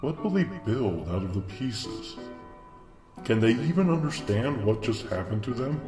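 A man playing a cold, clinical monster scientist in a comic dub, speaking formally and deliberately with hidden weariness. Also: medium pitch, very animated. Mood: cold, detached. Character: cold, clinical male mad scientist monster, secretly weary. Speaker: scientist experimenting on his creations. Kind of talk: comic dub dramatic acting. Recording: distant echoey voice under loud music.

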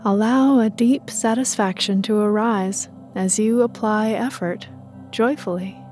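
Slow, mellow female meditation guide. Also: mid-range voice, faint music behind. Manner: calm, soothing, measured.

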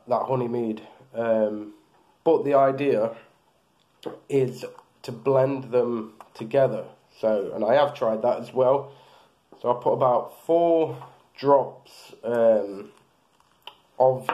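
A man's voice: medium pitch, highs cut off. A man in his thirties casually reviewing beard products, rambling with lots of fillers and restarts, filmed close in a small room.

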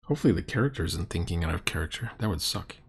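A middle-aged man comments casually on a tabletop game. His voice is slightly low and rough-edged with huskiness, and his delivery is sardonic and fault-finding. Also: expressive, racing. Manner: sardonic, critical. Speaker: commentator reviewing a roleplaying game session.

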